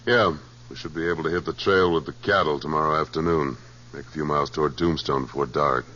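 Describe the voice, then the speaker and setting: An actor in a 1950s radio western playing a tough American trail boss, clipped, cool and authoritative. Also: male voice, a bass voice, fairly even intonation, fast, sharp tone, full character voice. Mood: steady command. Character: tough, terse, commanding male trail boss. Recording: muffled old radio recording, faint hum.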